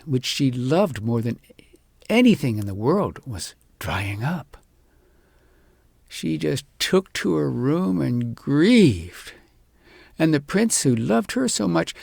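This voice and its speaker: A man reading a fairy tale as an audiobook storyteller, in an expressive, measured storybook delivery. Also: on the high side, creaky, no echo, studio quality.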